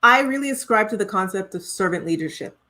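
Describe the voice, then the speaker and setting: A middle-aged woman with a Trinidadian accent talks through her ideas in an earnest, reflective way. She often restarts a phrase as she thinks. Her voice sits at an ordinary middle pitch for a woman. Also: lively intonation, fast talker, pushed and bright. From Trinidad and Tobago. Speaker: guest, professional singer. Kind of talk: conversational interview.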